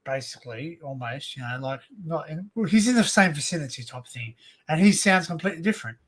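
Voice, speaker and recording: An Australian man hosting a casual livestream chat, rambling and joking in an excitable way. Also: fairly high pitch, fast talker, slightly rough. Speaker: livestream host.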